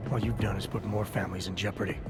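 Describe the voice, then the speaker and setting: A man playing a low-voiced stoic agent. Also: rapid-fire, over music, close to an everyday voice. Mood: stern. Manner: terse, deadpan. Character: stoic gruff male covert agent, adult. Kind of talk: video game voice acting.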